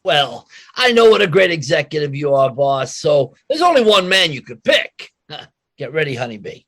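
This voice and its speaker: Man playing high-voiced, pushy working-class toady. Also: big pitch swings, normal speed, a bit bright, no echo, exaggerated voice. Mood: fawning. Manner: ungrammatical working-class wisecracking. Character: pushy, fawning working-class rival, quick to needle. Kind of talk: old-time radio comedy acting.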